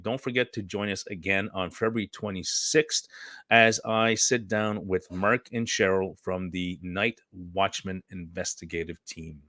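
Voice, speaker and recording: A man hosting a live-streamed talk show in a casual chatty way, hoarse and losing his voice, rambling and clearing his throat often. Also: lowish voice, fairly bright tone, no room sound, studio quality. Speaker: host.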